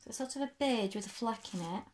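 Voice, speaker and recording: Woman, 50s, crafting vlogger; slightly croaky, chatty explainer, roomy echo, fixed desk camera. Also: on the high side, fast, darkish tone, a little hiss or hum. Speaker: sewing vlogger. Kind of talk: casual show-and-tell. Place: bright sewing room, indoors.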